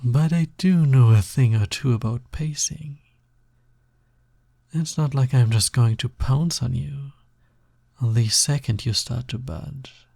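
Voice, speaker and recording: A man voicing a flirty, pushy naga snake demon roommate in an intimate audio roleplay, coaxing and casual with a breathy, husky delivery. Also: medium pitch, slow, warm tone, no echo, clean studio sound, full character voice. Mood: coaxing, teasing.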